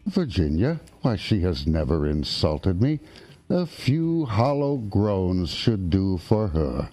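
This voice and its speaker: An actor playing a pompous, easily outraged centuries-old English ghost knight in a blustering, theatrical radio drama style. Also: male voice, deep voice, big pitch swings, slow, dark tone, heavily acted. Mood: conspiratorial.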